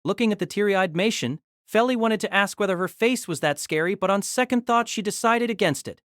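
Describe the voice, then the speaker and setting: A woman's voice: mid-range voice, fairly quick, no echo, studio quality. A woman narrating a scripted, dramatized story as its narrator.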